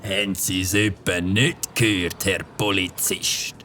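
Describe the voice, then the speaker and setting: A man's voice: deep voice, level tone, unhurried, pushed and bright, slight background noise. A man with a Swiss German accent voicing a cheerful, carefree middle-aged motorbike tinkerer in a children's audio drama. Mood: breezy.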